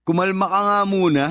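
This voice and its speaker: An actor playing a firm but considerate older brother in a radio drama. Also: male voice, sits high, fairly bright tone. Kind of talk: Tagalog radio drama acting.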